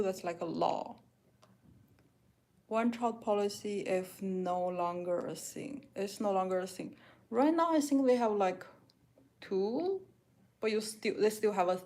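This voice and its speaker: Woman, Chinese accent, native Mandarin speaker, casual vlog chat, reads out questions and answers plainly, mid-pitched voice, kitchen at home. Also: darkish tone. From China. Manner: reads questions aloud, then answers frankly with many "like"s. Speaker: vlogger answering viewer questions. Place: home kitchen, doing dishes.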